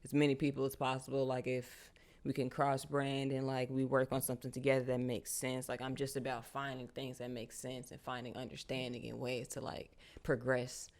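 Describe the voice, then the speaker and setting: Animated young woman chatting casually. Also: a bass voice, brisk. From United States, Charlotte. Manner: animated, casual slang, frequent "you feel me". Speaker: guest artist. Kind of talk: casual interview chat.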